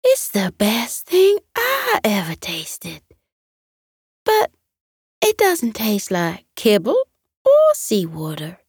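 A woman voicing a gentle, dreamy female cat in a children's bedtime story. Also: fairly high pitch, huge pitch swings, takes it slowly, pauses often, breathy edge, dry recording, clean studio sound, pitched above the performer's own voice, exaggerated voice. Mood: dreamy delight.

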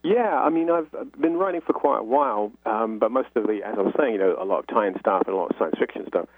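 Husky man on a phone-like line. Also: fairly low pitch, fast, dry recording. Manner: talkative, explanatory, frequent "you know". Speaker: interview guest, author. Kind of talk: conversational explaining in an interview.

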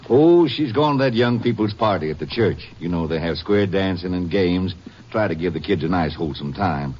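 Middle-aged rancher dad, gruff but decent, lively swings in pitch, dull hissy vintage radio sound. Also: male voice, moderate pace. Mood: easygoing, folksy. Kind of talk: 1950s radio western acting. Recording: dull, hissy old radio broadcast recording.

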